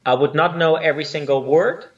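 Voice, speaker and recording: A man's voice: a little high, fairly even intonation, brisk, distant mic, lo-fi sound. A man from Spain who grew up with Spanish and Dutch speaks English as a guest in a casual interview. He talks hesitantly, with many fillers and restarts. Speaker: line dance instructor, guest.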